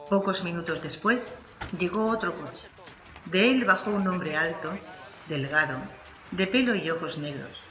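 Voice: medium pitch, sharp tone, roomy sound, rough recording. A narrator reading a scripted crime story for an audiobook.

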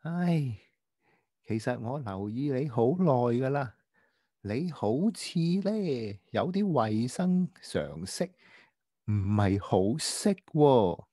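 A man voicing a prim, well-behaved young boy who gently lectures a friend in a children's radio drama, halting and patient. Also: medium pitch, very animated, dry recording, clean studio sound. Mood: gently reproving.

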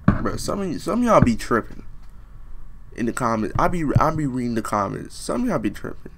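A man's voice: mid-range voice, very animated, brisk, gravelly, fairly bright tone, slight background noise. A young man reading a fairy tale aloud in a small room, joking in slang and breaking off to riff, close to a webcam. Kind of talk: comedic storybook reading with asides.

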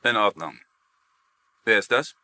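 An actor playing a stiff, formal checkpoint officer in a dubbed TV show. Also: male voice, fairly low pitch, expressive, a little slow, bright, forceful tone, no room sound, exaggerated voice. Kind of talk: dubbed TV acting.

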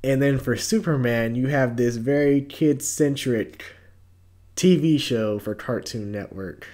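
A man's voice: medium pitch, a little slow, dark tone. Laid-back young American man. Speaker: YouTube host reviewing entertainment news. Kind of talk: casual commentary to camera.

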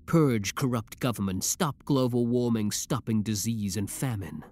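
A man playing an obsessive, menacing young villain with cold devotion, speaking deliberately and theatrically in a scripted ASMR roleplay. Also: fairly low pitch, a little mellow. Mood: brooding resolve.

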